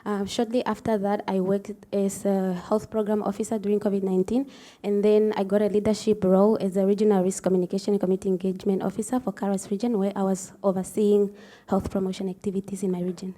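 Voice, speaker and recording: Woman from Namibia, even mellow voice. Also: medium pitch, quick pace. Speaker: first-year graduate student. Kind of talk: self-introduction.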